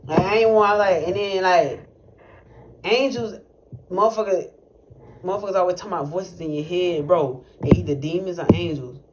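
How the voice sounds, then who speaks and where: A man's voice: sits high, normal speed. A young man speaking tells a personal testimony in casual, rambling, profane talk, full of fillers and restarts. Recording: noisy recording with clicks.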